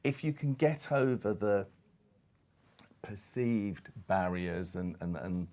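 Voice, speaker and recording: Male panellist, middle-aged, deep voice, discursive expert talk, stop-start and emphatic. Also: animated, slow, mellow. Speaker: panel speaker.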